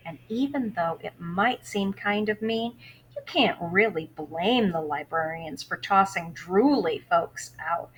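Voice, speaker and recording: A woman's voice: medium pitch, fairly bright tone, a little hiss or hum, poor audio. A woman teacher reading a novel aloud to students, giving instructions in between and telling the story expressively. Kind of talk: read-aloud lesson for students.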